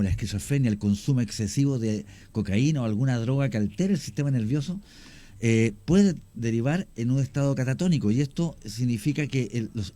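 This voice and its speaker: A man, a radio guest explaining his cemetery work at length, often pausing with fillers. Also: mid-range voice, dark tone. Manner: explanatory, hesitant with many fillers. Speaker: guest, cemetery tour creator. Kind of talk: radio interview.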